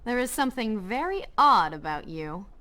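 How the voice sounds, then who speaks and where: A woman's voice: fairly high pitch, very animated, moderate pace, sharp tone. An actress playing a bold, practical young woman adventurer, wry and impatient, in a dramatic video game. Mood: curious, brisk.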